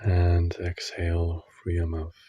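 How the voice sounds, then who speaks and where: A man leading a guided meditation, giving gentle instructions in short phrases with long pauses. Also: low voice, completely flat delivery, dry recording, highs cut off.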